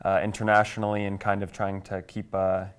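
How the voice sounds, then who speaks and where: A man's voice: lowish voice, monotone, brisk, clean tone. A man in his twenties from Kansas with an American accent, answering conversationally as an interview guest. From Kansas, United States. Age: 20s. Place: small TV studio, indoors. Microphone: lapel mic.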